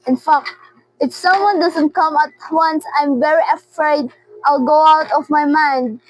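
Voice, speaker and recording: A teenage girl acting in a radio drama as a frantic, demanding invalid woman alone at night, anxious and repeating herself haltingly. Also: high voice, rough recording. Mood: fearful, upset. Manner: anxious, imperious, halting repetitions.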